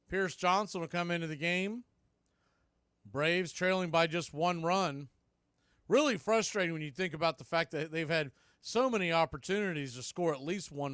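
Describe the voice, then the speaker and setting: A man with a fairly high voice announces live baseball in a dry, close recording. He gives clipped pitch calls and fills the gaps with conversational, partisan commentary. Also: normal speed, fairly bright tone, clear, resonant tone, no echo. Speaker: baseball radio play-by-play announcer.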